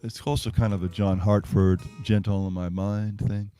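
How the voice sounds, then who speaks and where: A man's voice: on the low side, little pitch movement, moderate pace, warm tone, soft background music. A man who is a singer-songwriter talks casually between songs at a livestreamed gig, rambling and self-effacing.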